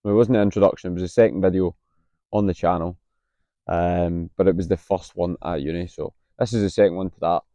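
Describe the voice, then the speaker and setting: A Scottish man in his late twenties, a little husky from being unwell, talking candidly to camera outdoors with plenty of hesitant ums. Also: low voice, fairly even intonation, brisk, mellow, dry recording. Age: 20s. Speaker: vlogger, university student. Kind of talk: casual vlog to camera. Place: outdoors on a campus.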